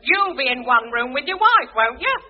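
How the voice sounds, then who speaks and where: A woman playing a stern, sharp-tongued older sister who speaks in a clipped, scolding way. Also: high-pitched, sing-song, normal speed. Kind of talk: 1980s radio sitcom acting. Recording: dull old radio recording.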